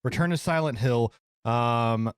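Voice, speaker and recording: A man hosts a casual podcast in a dry, close-sounding recording. His pitch is average, and he talks in excitable slang with some swearing. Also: measured pace, clear, resonant tone, no room sound. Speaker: podcast host.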